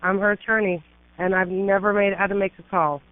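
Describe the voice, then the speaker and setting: A woman's voice: mid-range voice, steady tone, a little fast, dry recording. A middle-aged woman attorney calling an emergency line, urgent and pleading, piling up emphatic lists of complaints. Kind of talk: emergency phone call. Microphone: telephone. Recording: tinny phone line.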